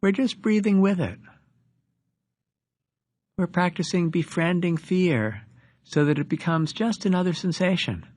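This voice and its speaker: A man leading a guided meditation as a teacher, giving instructions with deliberate pauses between phrases. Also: high-pitched, a little mellow, dry recording.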